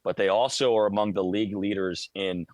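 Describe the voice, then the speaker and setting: Lower-voiced American play-by-play man breaking down hockey. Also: steady tone, brisk, no echo. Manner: conversational, detailed, stammers over word starts. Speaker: radio play-by-play broadcaster, guest analyst. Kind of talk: live sports radio analysis.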